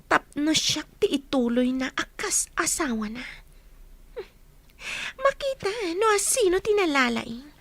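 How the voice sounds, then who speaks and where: A woman's voice: high-pitched, sing-song, a little slow, fairly bright tone, dry recording, exaggerated voice. A woman acting in a radio drama as a scheming young mistress, pouty and gloating.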